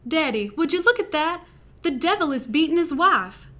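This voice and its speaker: A woman's voice: high voice, lively intonation, moderate pace, pushed and bright, pitched above the performer's own voice. A woman putting on a Southern bride-to-be. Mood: excited. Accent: American Southern, put on. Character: young Southern bride-to-be, 24, teasing.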